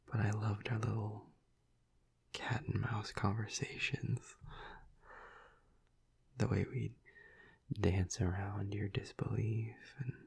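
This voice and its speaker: A man playing a tormented, tender vampire lover in an intimate audio roleplay, halting and stammering in a breathy, husky half-whisper. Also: lowish voice, darkish tone, heavily acted. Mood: tender, remorseful.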